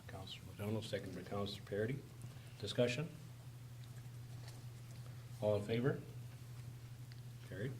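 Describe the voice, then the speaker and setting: A man with a hoarse, raspy voice chairing a formal council meeting, calling motions briskly in a council chamber. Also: on the low side. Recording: noisy room recording.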